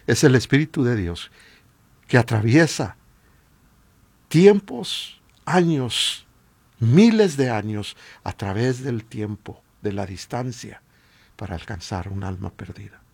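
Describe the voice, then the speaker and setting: A man with a Latin American Spanish accent and a husky voice, preaching informally and emphatically with repeated rhetorical questions. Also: lowish voice, darkish tone. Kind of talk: informal exhortation sermon.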